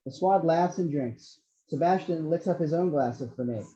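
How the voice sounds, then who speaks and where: A man's voice: on the high side, moderate pace, mellow. A man reading out screenplay action descriptions as the narrator of a table read. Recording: slightly dull lo-fi microphone.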